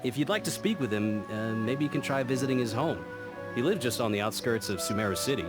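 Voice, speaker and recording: A man's voice: mid-range voice, lively intonation, fast talker, lightly acted. A man voicing a concerned officer in a video game, explaining things plainly. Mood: uneasy, explaining. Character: concerned male officer giving his account. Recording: background music.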